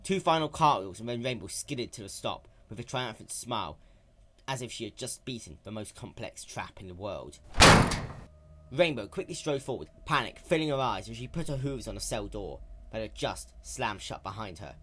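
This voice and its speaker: A man's voice: fairly low pitch, expressive, normal speed, fairly bright tone. A man narrating a scripted story as a dramatic reading. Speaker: narrator and reader.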